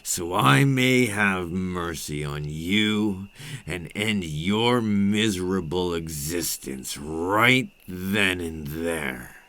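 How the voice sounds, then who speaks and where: A man playing a menacing, seductive vampire captor in an intimate ASMR roleplay, cruel, patient and taunting, with slow, silky threats close to the mic. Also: animated, sharp tone, dry recording, exaggerated voice. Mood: forceful threat. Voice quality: very deep, gravelly. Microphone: close microphone.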